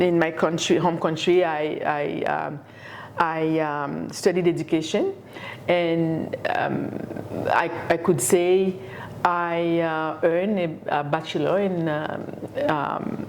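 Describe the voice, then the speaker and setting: A middle-aged woman from Haiti, an education professor, answering interview questions in a halting way with many fillers and repeated words. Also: sits low, darkish tone, a little hiss or hum. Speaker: education professor and community leader.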